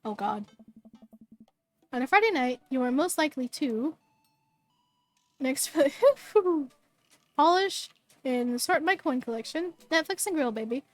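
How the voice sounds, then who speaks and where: Hoarse chatty girl streamer, high voice, dry close mic, faint game music. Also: lively intonation, takes it slowly, long pauses, no echo. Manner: bubbly, chatty, stumbles over words. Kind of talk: live stream, reading game text and greeting chat.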